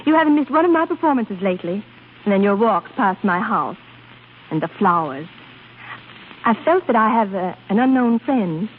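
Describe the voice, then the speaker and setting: A woman's voice: mid-range voice, expressive, normal speed, a little hiss or hum, lightly acted. A woman playing a coy, flirtatious opera prima donna in a 1930s radio drama, hesitant and fluttery. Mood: flattered, delighted. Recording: tinny, band-limited 1930s radio broadcast with music.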